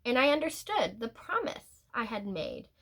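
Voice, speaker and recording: A woman reading a picture book aloud as a teacher would, in a deliberate, expressive storytelling manner with drawn-out words and pauses. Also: a little high. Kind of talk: picture-book read-aloud, scripted narration with brief asides.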